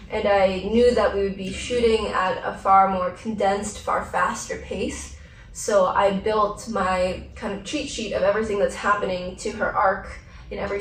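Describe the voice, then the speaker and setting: A woman, an actress, answering questions at a post-screening Q&A, speaking in an articulate, reflective way with the odd light laugh. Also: medium pitch. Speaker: actress, festival guest. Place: large theater auditorium. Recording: roomy theater sound from a distance, faint hum.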